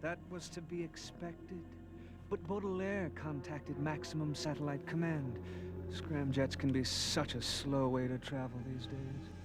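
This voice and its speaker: An actor playing a foppish, sly villain in an action cartoon, sardonic and affected. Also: male voice, fairly high pitch, fairly even intonation, unhurried, close to an everyday voice. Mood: smug mockery. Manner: sardonic, affected, speaks of himself by name. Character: sly, foppish male villain, mockingly amused. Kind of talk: animated action cartoon acting. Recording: music behind.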